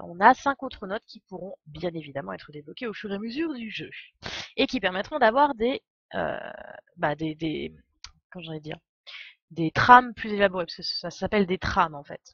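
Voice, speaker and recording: A woman's voice: mid-range voice, animated, moderate pace, a bit bright, breathy edge, no room sound, muffled recording. A woman streaming a game with casual, enthusiastic and digressive live commentary. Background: game music.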